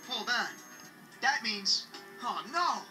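A brash, loyal teenage big brother in an anime dub. He talks in streetwise slang with lively swings in pitch, recorded off a TV with loud music behind. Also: takes it slowly, low-quality recording. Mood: outraged. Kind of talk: English-dubbed anime acting.

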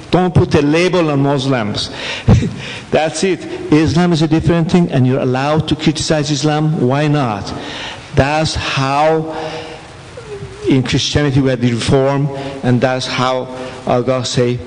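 A man's voice: mid-range voice, a little mellow. A man speaking up from the audience at a church, making emphatic points and often repeating himself. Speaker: audience member. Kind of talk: spontaneous remarks. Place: church hall, indoors. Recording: reverberant hall, off-mic.

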